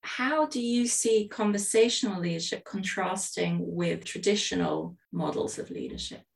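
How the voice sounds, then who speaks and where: A woman's voice: mid-range voice, very animated, normal speed, vocal fry, recorded from a distance. A woman co-hosting a podcast, introducing a guest in a friendly way and asking questions. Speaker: podcast co-host, interviewer.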